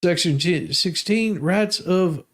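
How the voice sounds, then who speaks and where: A man's voice: high voice, slow pace, fairly bright tone. A man hosting a live stream, reading documents aloud and adding off-the-cuff, sardonic and mocking commentary.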